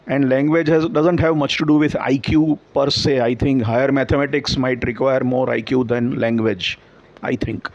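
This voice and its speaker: A Gujarati man talks in Indian English with a gravelly edge, mid-pitched and unhurried. He explains patiently with everyday examples and often restarts a phrase. The recording is a bit muffled and lo-fi. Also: a little mellow. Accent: Gujarati-influenced Indian English. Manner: thoughtful, explaining with examples and self-corrections. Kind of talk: reflective conversational talk.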